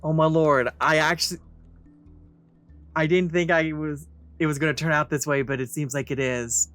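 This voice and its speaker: A man's voice: fairly high pitch, fairly even intonation, normal speed, a bit bright, faint music behind. A man giving casual let's play commentary as he reacts to a video game.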